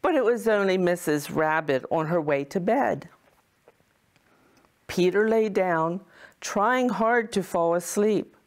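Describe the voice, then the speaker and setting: A woman reading a children's storybook as a kindly Mrs. Claus, in a deliberate, expressive way. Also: on the low side. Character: Mrs. Claus, kindly motherly wife of Santa.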